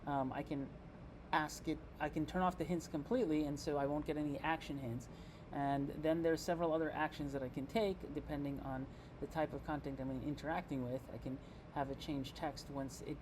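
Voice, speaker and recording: A man presenting an informal software tutorial, explaining step by step in a halting, think-aloud way. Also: a little high, noisy background. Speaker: presenter giving a software demo. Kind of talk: informal screen-reader tutorial narration.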